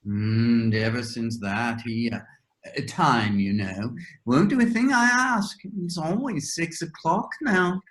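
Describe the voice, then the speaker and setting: A woman's voice: rumbling low, lively intonation, takes it slowly, full character voice. A woman playing an eccentric, huffy, scatterbrained hatter in a readers' theater storybook piece, theatrical and emphatic. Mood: aggrieved. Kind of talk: readers' theater of children's classic.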